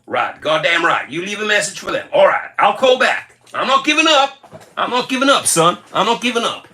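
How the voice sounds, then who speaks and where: A man's voice: high-pitched, very animated, moderate pace, pitched above the performer's own voice, exaggerated voice. A man playing an angry, fed-up male caller who rants and curses down the phone, repeating himself. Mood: defiant. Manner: angry shouting, cursing, repetitive.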